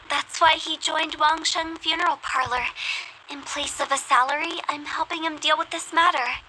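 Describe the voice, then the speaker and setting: A woman's voice: high voice, fairly even intonation, unhurried, background noise. A woman playing a confident, playful young funeral parlor director in a video game, with a self-assured theatrical manner. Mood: matter-of-fact.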